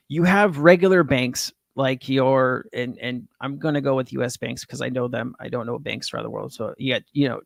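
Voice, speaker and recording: A man from California with a hoarse voice, casually explaining crypto payment systems as a channel host and often restarting mid-sentence. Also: mid-range voice, dry recording, clean studio sound. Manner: rambling, restarts, reads from screen. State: hoarse, losing his voice.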